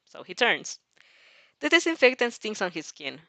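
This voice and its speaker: A woman's voice: medium pitch, big pitch swings, normal speed, creaky, bright, forceful tone, dry recording. A woman reading fan fiction aloud expressively, giggly and reacting as she goes, sometimes stumbling over words.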